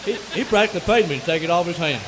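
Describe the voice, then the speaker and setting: Folksy Southern male driver, played by a man, rambling and oblivious, quick high delivery for radio comedy. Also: lively intonation, background noise, close to an everyday voice. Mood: chatty, folksy. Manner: rambling, stammering, cheerfully oblivious. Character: talkative, folksy country pickup driver.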